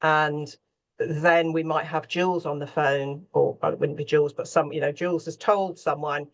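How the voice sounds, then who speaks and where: A woman's voice: sits low, fairly even intonation, normal speed, highs cut off. A middle-aged or older woman, a scriptwriter, explaining her work in conversation on a panel. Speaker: radio drama scriptwriter on a panel.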